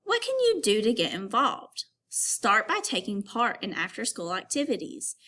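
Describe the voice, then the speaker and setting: A woman teacher reading an informational text aloud to young children, pausing to give instructions and put questions to them. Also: medium pitch, wildly swooping pitch, bright, forceful tone.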